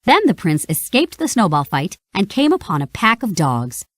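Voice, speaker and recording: A woman reading a children's read-along story in an expressive, scripted storytelling style. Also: medium pitch, moderate pace, no echo, studio quality. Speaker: storybook narrator.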